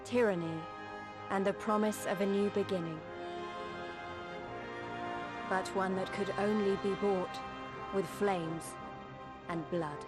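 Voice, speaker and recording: A woman voicing an earnest, determined young resistance fighter in a dramatic video game style, speaking in a measured, heartfelt way. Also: medium pitch, fairly even intonation, close to an everyday voice. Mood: solemn, reflective. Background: orchestral music.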